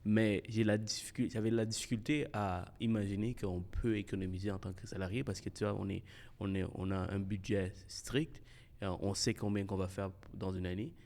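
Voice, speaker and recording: A man hosting a podcast. He talks casually and haltingly, restarting his sentences and slipping in English words. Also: on the low side, fairly even intonation, darkish tone. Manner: halting and hesitant, many restarts, laughs often, mixes in English. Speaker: podcast host.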